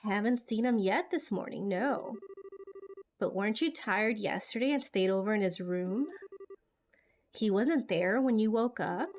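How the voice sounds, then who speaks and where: Woman acting teasing, slow-paced big-sister type. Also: medium pitch, darkish tone, a little hiss or hum, close to an everyday voice. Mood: puzzled. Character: teasing, concerned older-sisterly young woman. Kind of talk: amateur game cutscene voice acting. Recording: music behind the voice.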